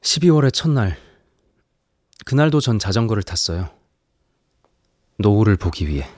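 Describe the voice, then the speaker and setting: A man's voice: on the low side, big pitch swings, normal speed, darkish tone, slight background noise. An actor playing a brooding nineteen-year-old man who is losing his sight and resents his father. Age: about 19, turning 20. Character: young man going blind, bitter toward his father. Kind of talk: introspective drama narration and dialogue.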